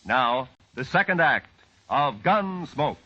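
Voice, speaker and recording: A man's voice: medium pitch, sing-song, slow, over loud music. A man announcing on 1950s radio, reading a scripted promo in a polished, emphatic, dramatic style. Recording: dull old radio recording.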